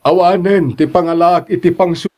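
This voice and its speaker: A man plays a kindly small-eatery boss, regretful and considerate. His voice is a bit high for a man, apologetic, in Ilocano radio drama style. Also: fairly even intonation, normal speed, a little mellow, close to an everyday voice.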